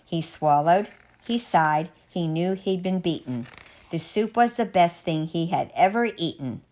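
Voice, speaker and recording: A woman reading a rhyming children's picture book aloud as a storyteller, expressively, with measured, dramatic, sing-song emphasis. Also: on the low side, lively intonation, a little mellow.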